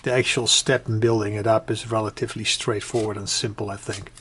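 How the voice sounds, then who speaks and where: Man explaining casually over clear background noise. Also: fairly low pitch, level tone. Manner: hesitant, conversational, pausing mid-sentence. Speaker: hobbyist demonstrating a build. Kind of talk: casual unscripted tutorial talk. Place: indoors at home.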